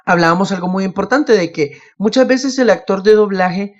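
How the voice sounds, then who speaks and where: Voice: mid-range voice, normal speed, highs cut off. A podcast host, chatting casually and telling anecdotes, often acting out what others said. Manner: acts out quoted remarks.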